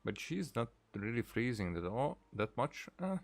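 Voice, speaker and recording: A man's voice: mid-range voice, sing-song, moderate pace, vocal fry. A man giving casual let's play commentary, thinking aloud in short muttered fragments. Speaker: gaming streamer. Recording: game music behind.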